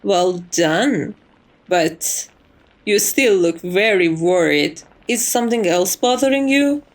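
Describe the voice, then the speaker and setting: A woman's voice: medium pitch, lively intonation, slow. A woman playing a composed, courteous vampire's maid in an ASMR roleplay, formal and reassuring with a wry tease. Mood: calm reassurance.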